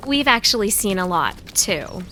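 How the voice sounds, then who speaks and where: A woman's voice: medium pitch, sing-song, moderate pace, sharp tone, slight background noise. A woman playing a young hiker in love, chirpy and wryly teasing, in an audio drama. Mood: playful.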